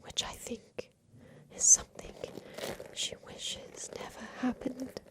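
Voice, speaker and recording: A woman voicing a secretive, tender captive girl in a haunted attic, in a hushed, deliberate ASMR roleplay whisper that repeats phrases. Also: lightly acted, low-quality recording. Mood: wistful, secretive.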